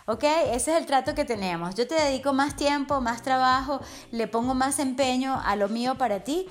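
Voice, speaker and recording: A woman's voice: high voice, moderate pace. A middle-aged woman hosting a wellness podcast, giving an enthusiastic, affectionate, chatty motivational monologue. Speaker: wellness coach podcast host.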